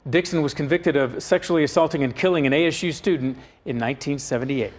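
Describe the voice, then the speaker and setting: A man's voice: a little high, quick pace. A man reading scripted TV news as an anchor.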